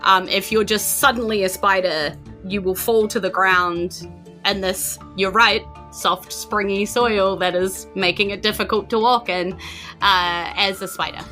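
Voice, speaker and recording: A woman with a New Zealand accent runs a tabletop game, painting the scene as she goes and hunting for words with plenty of fillers. Her voice is bright and forward, at a middle pitch. Faint music sits behind her. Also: fairly even intonation, measured pace. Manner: descriptive, searching for words with many fillers. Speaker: game master.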